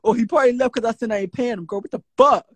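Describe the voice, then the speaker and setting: A man's voice: very high voice, rapid-fire, no echo. A man in his twenties joining a phone livestream, brash and flirty. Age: 20s. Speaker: livestream guest. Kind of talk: casual flirty livestream chat.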